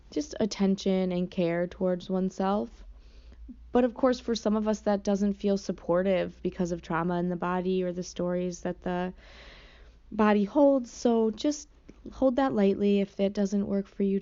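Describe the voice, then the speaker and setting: A woman guiding a meditation in a soft, slow, gentle way. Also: medium pitch, muffled recording. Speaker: meditation teacher. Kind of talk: guided meditation.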